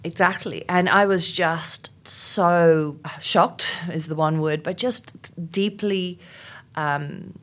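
A woman's voice: fairly low pitch, slow pace. A middle-aged South African woman, Afrikaans her first language, giving thoughtful interview answers with frequent ums and restarts. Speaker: interview guest, HR executive.

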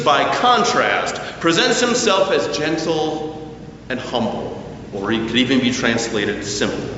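A man delivering a sermon as a preacher, with deliberate phrasing and emphatic pauses. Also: mid-range voice, pushed and bright. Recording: very echoey hall, distant, slightly dull, faint noise.

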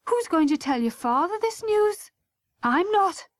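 Woman acting a scandalised, high-voiced scolding mother. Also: sing-song, normal speed, no room sound. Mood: dismayed. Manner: indignant, reproachful rhetorical questioning.

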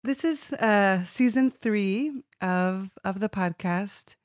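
A woman's voice: medium pitch, slow pace, no echo, clean studio sound. A woman hosting a podcast, enthusiastic and welcoming as she introduces her guests. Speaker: podcast host.

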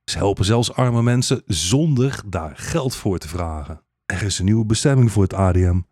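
Deep-voiced male storyteller, ironic and theatrical. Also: lively intonation, moderate pace, a little mellow, slightly rough, dry recording, studio quality. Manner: theatrical, ironic, mock-naive as if telling children. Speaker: narrator of a radio play. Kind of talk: satirical fairy-tale storytelling, scripted narration. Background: music.

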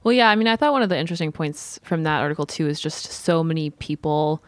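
A woman's voice: low-pitched, lively intonation, fast, no echo, clean studio sound. A woman chatting casually as a panelist, informal and peppering her talk with filler words like "like". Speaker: podcast panelist. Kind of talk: casual chat.

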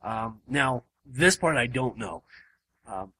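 A man hosts a relaxed podcast in a room at home. His voice is hoarse and wearing thin, yet it keeps a bright, forward edge. He rambles and restarts often, filling the gaps with ums. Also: mid-range voice, takes it slowly, low-quality recording. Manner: rambling, with many fillers and restarts. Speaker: podcast host. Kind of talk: casual chat.

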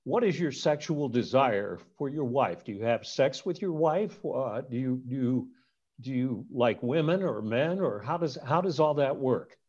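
A male talk show host asks his guest blunt, direct questions. His voice sits at a middle pitch. The recording sounds a little dull and muffled. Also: animated, unhurried, darkish tone. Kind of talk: interview questions.

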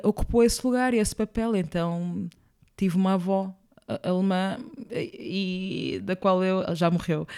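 A woman's voice: fairly low pitch, mellow, smooth. A young woman from Angola, speaking as an interview guest, thoughtful, often circling back and adding small tag questions. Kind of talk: reflective interview conversation.

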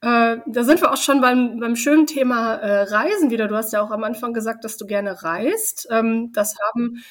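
Woman, somewhat high voice, podcast host, casual hesitant questions. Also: fairly even intonation, moderate pace. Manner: friendly, hesitant questions with fillers. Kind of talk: casual interview.

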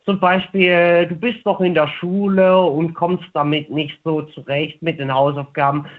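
Phone-in caller, male, twenties, notably high voice, conversational, thin telephone sound. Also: flat delivery, a little slow. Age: 20s. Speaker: radio show caller. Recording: narrow, tinny phone line.

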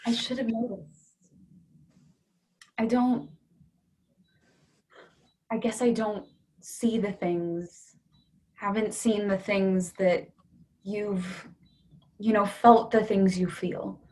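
A woman's voice: medium pitch, fairly even intonation, slow pace, long pauses, darkish tone, natural voice, low-quality recording. An actress playing a remorseful young woman in a play reading, hesitantly searching for words to reach her lover. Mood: apologetic, tender. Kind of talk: dramatic dialogue in a staged play reading.

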